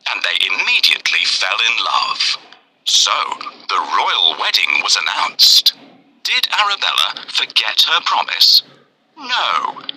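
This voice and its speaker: A man narrating a scripted children's fairy tale in an expressive, deliberate way. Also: mid-range voice, a little hiss or hum, low-quality recording. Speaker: storyteller.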